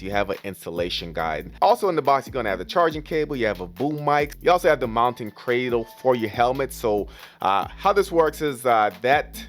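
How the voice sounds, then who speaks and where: A man giving a casual, informal voice-over as a vlogger, walking step by step through a product unboxing and setup. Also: mid-range voice.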